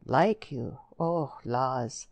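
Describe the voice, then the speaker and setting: A woman's voice: a bass voice, lively intonation, very slow, a little mellow, no echo, pitched below the performer's own voice, full character voice. A woman voicing a smitten, earnest young countryman in a storybook, with blunt rural English dialect.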